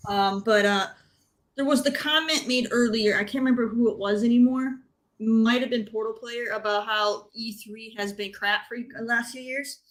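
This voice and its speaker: A woman's voice: on the high side, fairly even intonation, normal speed, a bit bright, rough recording. A woman speaking as a panelist in a casual chat, blunt and emphatic with her opinions. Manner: emphatic, blunt, repeats points. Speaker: panelist on a gaming talk show.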